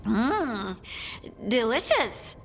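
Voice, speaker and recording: A woman's voice: a little high, very animated, very slow, fairly bright tone, in a large hall, slight background noise, full character voice. An actress playing an eager-to-please young dwarven woman in a video game, speaking hesitantly and apologetically. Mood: awkward, apologetic. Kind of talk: video game cutscene acting.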